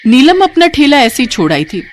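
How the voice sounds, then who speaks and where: A woman's voice: sits high, sing-song, normal speed. A woman storyteller reading a scripted tale as narrator.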